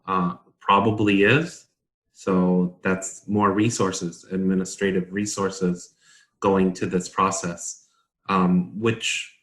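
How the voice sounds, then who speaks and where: A man giving halting, casual explanations as a consultant in a panel discussion. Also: deep voice, a bit bright. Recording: roomy, echoing sound.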